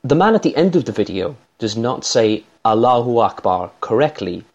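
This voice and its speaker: A man with an Irish accent giving scripted, measured analytical commentary as a voice analyst. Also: medium pitch, big pitch swings, dull audio.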